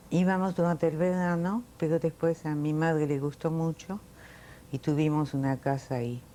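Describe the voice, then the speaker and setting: A woman in her late sixties, born in Italy, telling her life story in an oral history interview, reflective and unhurried with frequent fillers. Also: low-pitched, fairly even intonation, dark tone, slight background noise. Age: 60s.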